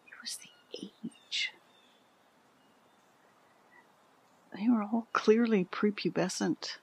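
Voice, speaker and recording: An actress playing a candid, troubled middle-aged mother in an audio drama, speaking with a husky voice. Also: a little high, full character voice. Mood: hesitant, troubled. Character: candid, troubled mother confiding about her peculiar son. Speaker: interviewee.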